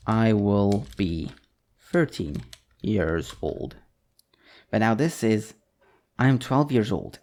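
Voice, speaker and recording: A man's voice: on the low side, a little mellow. A man teaching programming over a screen recording, reading code aloud as he types it. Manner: talks while typing code, reads out strings. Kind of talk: screen-recorded coding tutorial.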